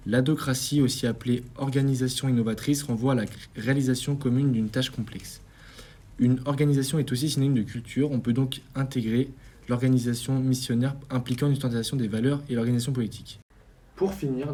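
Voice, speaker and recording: Brisk young man hosting a quiz. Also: medium pitch, dark tone. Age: young adult. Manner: reads questions and explanations in a brisk announcer tone. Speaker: quiz show host. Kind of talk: scripted quiz-show hosting.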